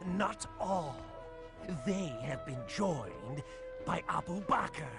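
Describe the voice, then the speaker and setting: High-voiced, scornful Meccan nobleman villain. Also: sing-song, extremely slow, exaggerated voice. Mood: mocking. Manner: theatrical, mocking. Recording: background music under the voice.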